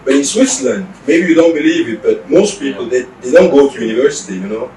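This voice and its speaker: A young man from Cameroon, an exchange student doing his master's, answering interview questions in a measured, explanatory way. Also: medium pitch, lively intonation, darkish tone. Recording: roomy echo, distant mic, faint noise.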